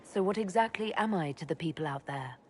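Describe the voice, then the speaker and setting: An actress playing a steady, dry-witted heroic leader in a video game, speaking directly. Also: female voice, lowish voice, fairly quick, slight background noise, lightly acted. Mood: dry, wary. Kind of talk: video game dialogue acting.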